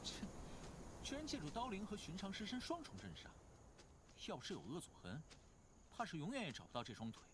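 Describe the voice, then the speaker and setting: An actor playing a sly, playful young male cultivator in an animated fantasy drama, musing sardonically. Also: sits high, a little fast, pauses often, background noise. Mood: musing, wry. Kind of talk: animated drama dub acting.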